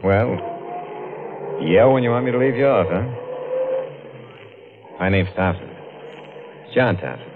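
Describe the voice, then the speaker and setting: A man's voice: lowish voice, expressive, a little slow, pauses often, noisy background. An actor playing an edgy working-class man in his twenties in a 1950s noir radio drama, colloquial and plain-spoken. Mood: casual, uneasy. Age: 20s. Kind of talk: 1950s radio drama acting, first-person noir narration. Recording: muffled old radio recording, music behind.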